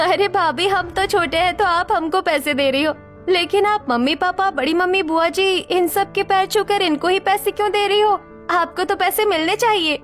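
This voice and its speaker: A woman's voice: extremely high pitch, moderate pace, a bit bright, faint music behind. A woman voicing a curious, cheeky young child with a chirpy, questioning manner in a family story. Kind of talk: scripted family drama storytelling.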